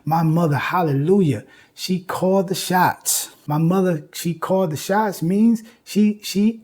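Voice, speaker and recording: A man lectures informally in a mellow, husky voice that sits a little high. He is emphatic, repeating phrases to drive points home and reciting lines as he goes. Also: measured pace. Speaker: host and lecturer on music history.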